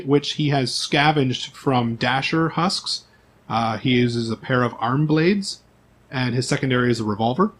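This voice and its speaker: A man acting as game master, reading out character profiles in an informal, list-like way with asides. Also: a little high.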